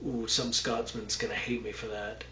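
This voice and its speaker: A man's voice: lowish voice, level tone, moderate pace, bright, forceful tone, echoey room, slight background noise. A man from Texas giving a casual, rambling Bible lesson with many fillers and asides. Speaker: Bible teacher.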